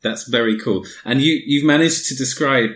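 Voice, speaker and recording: A man's voice: medium pitch, moderate pace. A man hosting a podcast interview, chatting casually and a little hesitantly. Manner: relaxed, hesitant, many fillers. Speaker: podcast host. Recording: roomy echo.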